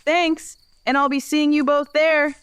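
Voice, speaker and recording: A woman's voice: sits high, level tone, a little slow, dry recording. A woman playing a feisty, busy small-town activist in an audio drama, emphatic, determined and a little theatrical.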